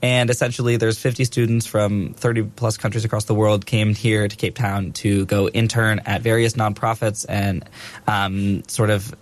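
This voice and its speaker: A man's voice: on the low side, level tone, moderate pace. A young man presenting a radio show, asking questions in a casual, hesitant way. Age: young adult.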